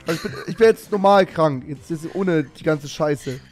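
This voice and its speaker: A hoarse man chatting casually while gaming, his voice sitting a touch high and worn rough from being sick. He jokes and banters loosely with his teammates, and faint music plays underneath. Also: normal speed. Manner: relaxed, joking banter. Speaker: streamer.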